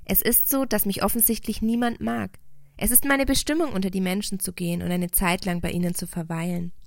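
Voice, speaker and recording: A shy, weary spirit of sadness from a storybook, read by a woman, speaks in a plaintive, hesitant way. The voice is brittle and gravelly, recorded dry and close. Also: medium pitch, steady tone, a little fast, dry recording, lightly acted. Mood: plaintive, earnest.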